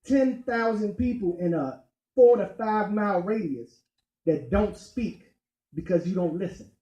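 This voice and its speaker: A man speaking as a candidate at a community meeting, emphatic and deliberate, pausing on key points. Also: sits high, expressive, warm tone, lo-fi sound. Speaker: county council candidate. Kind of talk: campaign speech at community meeting. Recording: echoey meeting room.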